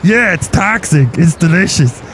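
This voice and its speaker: A man's voice: sits high, animated, a little slow, fairly bright tone, slight background noise, pitched above the performer's own voice, poor audio. A man voicing a scatterbrained, boastful male brewmaster in a comedy podcast sketch. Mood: goofy. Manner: rambling, oblivious.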